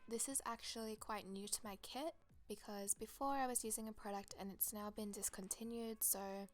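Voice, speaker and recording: A woman's voice: mid-range voice, steady tone, normal speed. A young woman with a cold, her voice a bit crackly, chatting enthusiastically through a makeup tutorial voice-over. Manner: chatty, enthusiastic product recommendations. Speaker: makeup artist.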